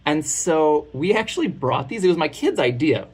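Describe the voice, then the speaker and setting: A man's voice: fairly high pitch, big pitch swings, moderate pace, darkish tone, husky. A man in his thirties giving a casual product review in a chatty, informal and candid way.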